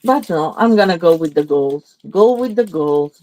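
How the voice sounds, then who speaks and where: A woman's voice: lowish voice, lively intonation, normal speed, darkish tone, poor audio. A slightly congested woman chatting casually as she demonstrates card making, thinking aloud while she works. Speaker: craft demonstrator. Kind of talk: live crafting demo chat.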